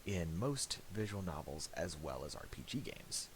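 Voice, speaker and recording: A man narrating a casual, unscripted software tutorial, halting and thinking aloud as he goes. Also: sits low, sing-song. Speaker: tutorial presenter. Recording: faint hiss and mouse clicks.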